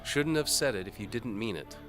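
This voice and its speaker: A man's voice: on the low side, expressive, fairly quick, music in the background, voice pushed up, close to an everyday voice. A man voicing a fierce, defiant townswoman in an audio drama, with a snappish, challenging way of talking. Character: fierce, defiant townswoman urging on a reluctant knight.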